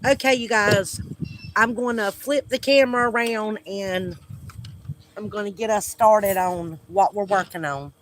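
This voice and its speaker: A man's voice: sits high, measured pace, fairly bright tone, a little hiss or hum. A man with a Southern US accent hosting a homesteading livestream from outdoors at home. He chats casually with viewers in a hesitant, friendly way with many fillers. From United States. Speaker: homesteading channel host.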